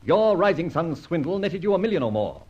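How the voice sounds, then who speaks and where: A husky, dashing masked vigilante in a vintage radio detective serial. He is sardonic and commanding, with theatrical, widely swooping delivery. The old recording sounds dull. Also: a little high, very animated, fairly quick, darkish tone. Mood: accusing, commanding. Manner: theatrical, clipped, declamatory. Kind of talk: old-time radio drama acting.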